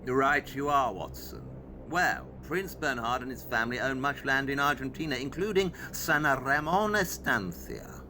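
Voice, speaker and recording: An actor playing a brisk, all-knowing male detective in an audio play, explaining things precisely and with condescension. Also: mid-range voice, bright, forceful tone, slight background noise. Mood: assured, intent.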